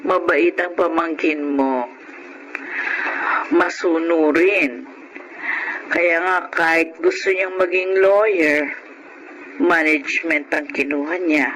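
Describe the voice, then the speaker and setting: A woman's voice: sits low, fairly even intonation, takes it slowly, long pauses, background noise. A middle-aged woman playing a devoted, self-assured widowed mother in a radio drama, speaking earnestly. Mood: confident, insistent. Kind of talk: radio drama acting and first-person narration. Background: music under the voice.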